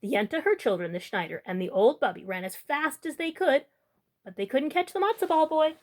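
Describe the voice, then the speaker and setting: A woman's voice: sits high, lively intonation, brisk, a little mellow. A woman hosting a storytime, reading a children's picture book aloud as narrator.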